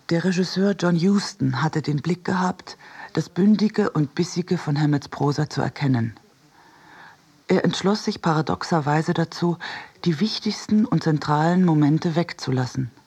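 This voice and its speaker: A man reading as a reflective, wry essayist in a measured, precise literary radio narration. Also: on the high side, darkish tone. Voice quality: husky, creaky. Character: reflective essayist and cinema-goer, wryly critical.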